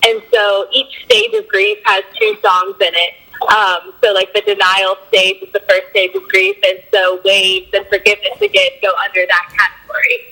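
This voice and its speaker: A young woman in a band, a bit hoarse from a cold, answering interview questions in a loose way with lots of fillers. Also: mid-range voice, steady tone, normal speed, sharp tone, rough recording. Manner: loose, filler-heavy talk with frequent likes and ums. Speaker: band member.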